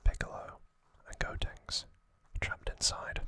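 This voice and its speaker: A man speaking in a breathy, unvoiced whisper, telling trivia in a soothing, halting ASMR style. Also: bright, forceful tone, no echo. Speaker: ASMR creator, host.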